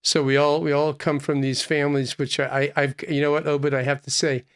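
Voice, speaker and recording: A man hosting an interview podcast, asking questions in an unhurried, conversational way, often restarting his phrases. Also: fairly high pitch, flat delivery, clean tone. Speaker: podcast host, physician.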